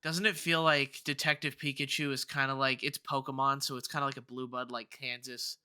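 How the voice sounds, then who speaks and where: A man co-hosting a podcast in casual chat, haltingly repeating words as he thinks aloud. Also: medium pitch, level tone, bright, forceful tone, clear, resonant tone, no room sound, studio quality. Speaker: podcast co-host.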